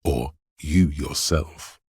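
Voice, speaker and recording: A man voicing a detective's analytical inner voice in a video game, narrating in a deliberate, precise and literary way. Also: a little mellow, dry recording, studio quality, full character voice. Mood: analytical, measured. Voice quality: very deep, gravelly, husky. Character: inner voice of a detective's spatial reasoning, measured and analytical. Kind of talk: scripted game narration in second person.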